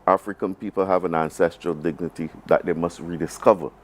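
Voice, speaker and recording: Male interview guest, Barbadian accent, very low pitch, halting delivery with emphatic repetition. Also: fairly even intonation. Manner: halting, repeats phrases emphatically. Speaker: government program advisor, interview guest. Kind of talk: live broadcast interview.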